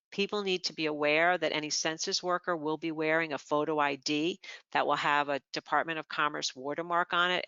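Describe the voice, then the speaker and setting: Presenter going thru slides one by one, smooth clear voice, bit muffled lo-fi webinar sound. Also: medium pitch, no room sound. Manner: steady, explanatory, walks through each slide point by point. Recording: slightly dull lo-fi webinar audio.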